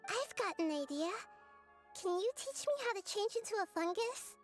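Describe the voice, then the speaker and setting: Very high-pitched little girl god. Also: expressive, moderate pace, faint music behind. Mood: calm, resolved. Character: childlike young girl god, calm, curious, gentle. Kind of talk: video game voice acting.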